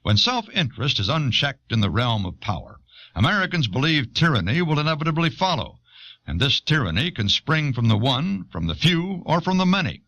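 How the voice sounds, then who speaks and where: A man narrating a scripted documentary in a measured, explanatory way, recorded on an old audio cassette. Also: on the high side, very animated, a bit bright, no echo, highs cut off.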